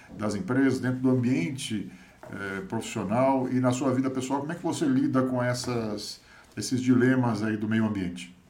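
A man's voice: medium pitch, darkish tone. A man with a Brazilian Portuguese accent interviewing conversationally, hesitant and rambling as he works toward his questions.

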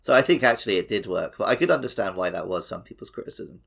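A man's voice: on the low side, expressive, quick pace, creaky, a bit bright, slightly rough. A man chatting casually as co-host of a gaming podcast.

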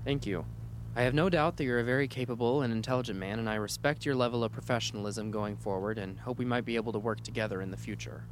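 An actor playing a soft-hearted doctor troubled by ethics in an audio drama, earnest, hesitant and polite. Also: male voice, medium pitch, fairly even intonation, quick pace, natural voice. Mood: firm, principled. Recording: faint noise and hum.

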